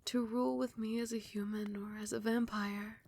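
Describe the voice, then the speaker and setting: A woman voicing a loving, protective vampire queen in an intimate ASMR roleplay, breathy and often sinking into a whisper, soothing and doting. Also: a little high, little pitch movement, moderate pace, darkish tone. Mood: tender, earnest. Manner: doting, soothing, affectionate pet names.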